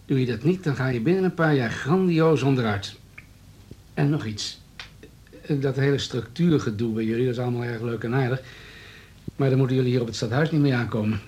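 An actor playing a hard-nosed town politician in a radio drama, businesslike, smooth and needling. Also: male voice, medium pitch, fairly quick, darkish tone, a little hiss or hum, natural voice. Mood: firm, condescending. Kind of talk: Dutch radio drama dialogue.

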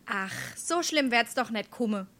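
Junge Hessin, hell und hoch. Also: female voice, a little high, lively intonation, unhurried, fairly bright tone, a little hiss or hum. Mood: coaxing. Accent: Darmstadt Hessian. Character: pert, tender young daughter in love. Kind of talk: stage dialect comedy acting.

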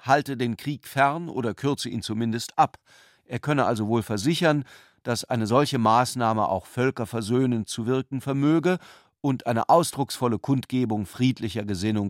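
A man giving a formal, measured literary narration as the narrator of a radio play. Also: medium pitch, dry recording, clean studio sound.

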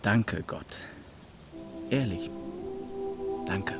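A man's voice: lowish voice, drawn-out words, loud music behind the voice. A man reading an audiobook in the first person as a jaded teenager, sardonic and terse, with faint noise behind. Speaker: audiobook narrator.

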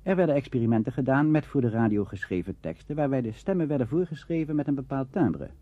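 A man's voice: medium pitch, expressive, fast, dry recording. A man giving scripted documentary narration in a formal, lecturing manner. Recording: dull, muffled old recording.

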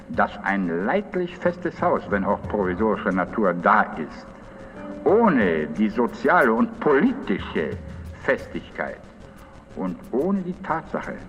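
An actor playing a grave, insistent male parliamentarian in postwar Germany, giving an emphatic rhetorical speech. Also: on the low side. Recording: dull, echoing hall sound.

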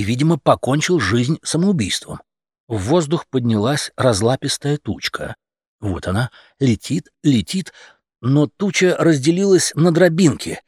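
A man narrating a humorous animal tale as an audiobook, in an expressive, theatrical storytelling manner. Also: mid-range voice, husky, dry recording, clean studio sound.

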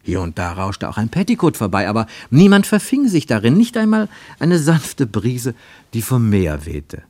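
A man reading scripted literary narration for a radio play, measured and wryly ironic. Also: medium pitch, huge pitch swings, darkish tone, no room sound.

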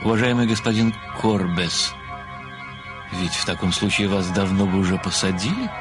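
A man's voice: low voice, animated, moderate pace, over music. An actor playing an earnest, self-satisfied amateur sleuth in an audio drama, admiring yet pedantic, formal and precise in his address. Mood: bewildered, protesting. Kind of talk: theatrical audio drama dialogue.

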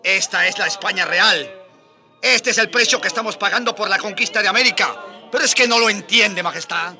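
A man's voice: sits high, fairly quick, sharp tone, soft background music, exaggerated voice. An actor playing an impassioned crusading friar in a radio drama, urgent, insistent and declamatory with his monarch. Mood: urgent, indignant.

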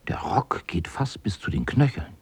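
A man narrating a radio drama as a storyteller, with a gravelly, husky voice. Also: on the low side, very animated, normal speed, in a whisper.

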